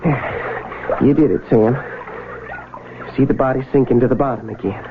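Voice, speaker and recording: An actor playing a wary young Civil War recruit with a plainspoken, questioning drawl, heard on a tinny, noisy old radio broadcast. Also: male voice, medium pitch, normal speed, creaky, natural voice. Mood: tense. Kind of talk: 1970s radio drama acting.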